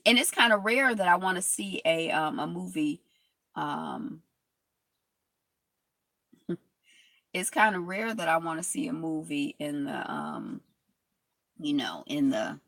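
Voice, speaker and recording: A woman vlogger reads from a text and drifts into reflective, rambling asides. Her voice is gravelly and bright. It sits fairly low for a woman, in a dry, close-sounding space. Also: animated, a little slow, long pauses, dry recording. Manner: rambling, reflective, with many fillers and restarts. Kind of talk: reading from a history text and commenting aloud.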